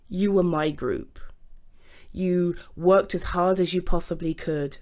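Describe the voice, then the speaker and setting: A woman's voice: on the low side, darkish tone. A British woman playing a supportive, affectionate girlfriend in an intimate ASMR roleplay, earnest and encouraging. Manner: encouraging, earnest, emphatic on key words. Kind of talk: ASMR roleplay, intimate one-sided conversation.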